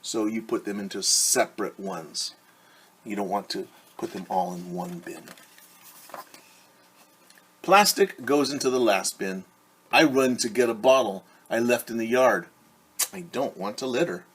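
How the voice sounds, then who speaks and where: A man's voice: lowish voice, a little slow, long pauses, fairly bright tone, husky. A middle-aged man, a teacher, reading a picture book aloud to children and explaining things simply. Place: small room at home.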